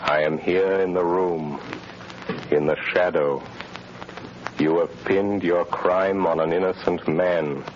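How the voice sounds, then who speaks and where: An actor playing an unseen, menacing male avenger in a 1930s radio drama, speaking in a slow, commanding voice with a mocking laugh. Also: low-pitched, steady tone, slight background noise. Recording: muffled 1930s radio recording.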